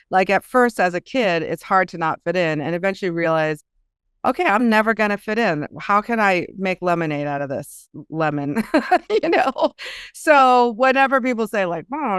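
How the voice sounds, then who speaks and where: A woman's voice: lowish voice, clean tone, no room sound, studio quality. A woman author speaking as a podcast guest, conversational and reflective, telling things in a storytelling way. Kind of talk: casual conversational interview.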